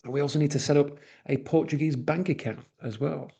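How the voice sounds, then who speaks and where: A man's voice: a little high, fairly even intonation, fairly quick, gravelly, mellow. A man from northwest England with a Manchester accent, giving a webinar presentation with frequent uhs and restarts. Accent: Mancunian.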